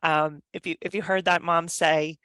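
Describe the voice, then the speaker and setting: A woman's voice: low-pitched, flat delivery, normal speed, fairly bright tone, no room sound. A woman presenting at a virtual meeting for a nonprofit, speaking earnestly with many ums and uhs. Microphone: video call.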